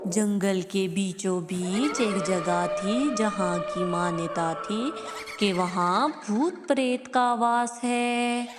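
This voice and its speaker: A woman narrating a scripted children's cartoon story as the storyteller. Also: medium pitch. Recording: noisy, long hall-like reverb.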